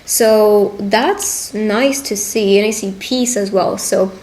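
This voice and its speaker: A woman reading tarot directly to the listener, speaking in unhurried short phrases with a reassuring, affirming manner. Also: mid-range voice, darkish tone, poor audio. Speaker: tarot reader hosting a roleplay.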